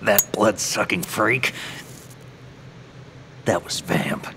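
An actor playing a world-weary, cynical veteran soldier in a video game, with a gruff, gravelly voice and a terse, matter-of-fact delivery. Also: male voice, fairly low pitch, very slow, pushed and bright, a little hiss or hum, full character voice. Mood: grim briefing. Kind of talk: video game cutscene acting.

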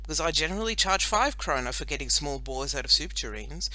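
A woman's voice: low voice, animated, a little fast, sharp tone, low-quality recording. A woman voicing a matter-of-fact, dryly witty male doctor in a storybook. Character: dry-humoured small-town male doctor.

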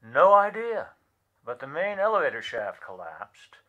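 A man acting in a radio play as an evasive, apologetic boss, speaking haltingly through a telephone filter. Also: a little high, wildly swooping pitch. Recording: muffled, band-limited telephone sound.

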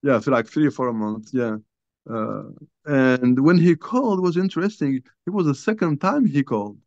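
An older man from Algeria, a guest author, telling a personal story in a halting, conversational way with many restarts, recorded over a video call from a small room at home. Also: mid-range voice, animated, a little mellow, no echo. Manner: halting, restarts often, many you-knows. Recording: dull lo-fi video call audio.

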